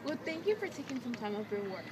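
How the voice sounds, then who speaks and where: A woman's voice: on the high side, very animated, fast. A woman playing a polite, inquisitive 1940s newscast field reporter, asking scripted interview questions. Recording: distant mic with clear noise.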